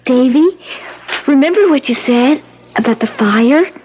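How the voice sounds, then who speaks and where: A faintly noisy, dull vintage radio drama with a timid teenage bride. Her voice is extremely high and girlish, with a hesitant, pleading way of speaking. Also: lively intonation, a little slow. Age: young adult. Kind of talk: old-time radio drama acting.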